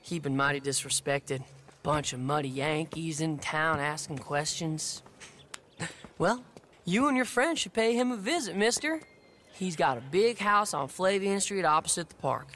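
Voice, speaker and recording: An actor playing a cocky young street gang leader who taunts a stranger with a mocking, swaggering drawl. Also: male voice, on the high side, lively intonation, a little slow, fairly bright tone, a little hiss or hum. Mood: taunting.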